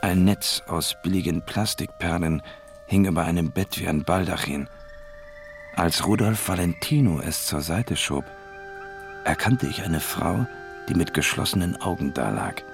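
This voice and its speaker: An actor playing a world-weary, laconic noir narrator in a radio drama, telling the story with resignation. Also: male voice, deep voice, a little slow, creaky. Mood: somber. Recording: music playing behind the voice.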